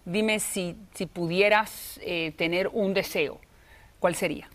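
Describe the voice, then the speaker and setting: A woman's voice: fairly low pitch, steady tone. A woman interviewing an actress, asking questions in a friendly, encouraging way. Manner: friendly, encouraging, quick questions with many backchannels. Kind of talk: casual interview talk.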